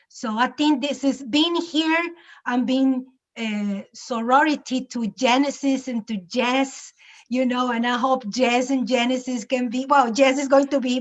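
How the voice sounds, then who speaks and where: Woman from Veracruz, Spanish first language, Mexican accent, halting and grateful, video call audio. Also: high voice, muffled recording. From Mexico, Veracruz coast. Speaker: conference guest. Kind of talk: casual chat on a video call.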